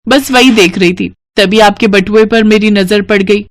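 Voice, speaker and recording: A woman's voice: mid-range voice, steady tone, normal speed, dry recording, clean studio sound, natural voice. A woman voicing a humble young village woman in a moral story, meek, respectful and hesitant. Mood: polite, meek.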